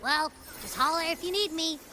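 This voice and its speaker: A woman's voice: extremely high pitch, normal speed, sharp tone, a little hiss or hum. A woman voicing a small, friendly, girlish companion in a video game, eager to help and talking casually and expressively.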